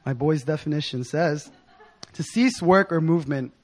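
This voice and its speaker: A young man with a hoarse voice giving an informal sermon in a casual, slangy, excited manner. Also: on the high side, normal speed. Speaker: preacher.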